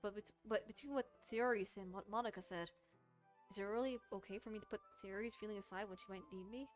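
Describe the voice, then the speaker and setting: A woman streamer reading a visual novel aloud and commenting on it, haltingly, often repeating and rereading phrases. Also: mid-range voice. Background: soft music.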